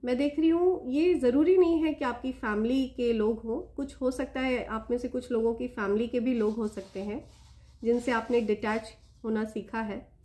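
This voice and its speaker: A woman with an Indian accent speaks in a somewhat high voice that is a little hoarse from a sore throat. She addresses her viewers directly as a spiritual reader, offering gentle blessings and often checking in with short tags. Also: fairly even intonation, moderate pace. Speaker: spiritual reader and channel host. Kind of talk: spiritual reading addressed to viewers, Hindi mixed with English.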